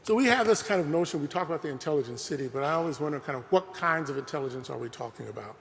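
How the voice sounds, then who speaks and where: A man's voice: on the high side, animated, rapid-fire, creaky, a bit bright, slight background noise. A man giving an earnest, conversational public talk, often saying "you know" as he speaks. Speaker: lecturer presenting a talk. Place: large museum hall. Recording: long hall reverb.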